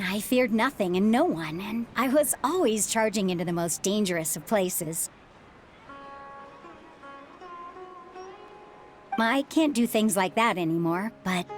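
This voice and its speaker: Reassuring motherly ex-adventurer played by a woman, lively intonation. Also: medium pitch, natural voice. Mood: nostalgic. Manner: calm, reassuring, philosophical. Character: reassuring middle-aged widowed mother, former adventurer. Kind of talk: video game voice acting.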